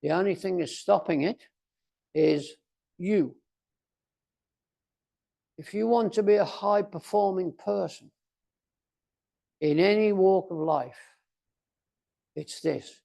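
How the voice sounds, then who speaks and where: A man of about eighty giving a motivational speech, speaking theatrically and emphatically, with deliberate pauses and repetition. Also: high voice, creaky, dark tone. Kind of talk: motivational speech to a club audience.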